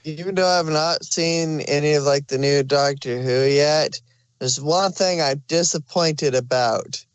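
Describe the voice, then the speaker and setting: A man's voice: a little high, a little slow, clean tone, dry recording, low-quality recording. A man chatting casually as a panelist on a fan podcast.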